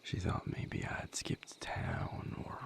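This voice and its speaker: A man playing a tender, weary vampire mate in an intimate audio roleplay, speaking softly and haltingly in a breathy, gravelly near-whisper. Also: close to an everyday voice. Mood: fond, reflective. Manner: unhurried, halting, soft-spoken with long pauses.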